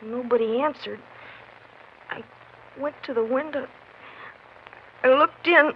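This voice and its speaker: A young American ranch boy in a 1950s radio western. The voice is high and childlike, rustic, hesitant and full of shy awe. It comes through muffled, with faint noise. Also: slow. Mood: anxious, hesitant.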